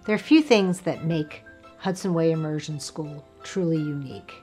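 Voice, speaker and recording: A woman's voice: low voice, normal speed, a little mellow, soft background music. A woman speaking as a school leader in a promotional interview, polished and articulate, sounding proud.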